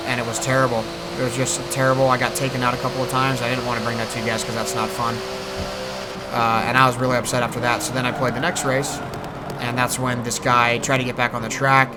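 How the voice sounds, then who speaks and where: A man hosting a gaming video, giving casual, rambling, hesitant voice-over commentary. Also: mid-range voice. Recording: loud racing car engine noise behind. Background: racing game car sounds.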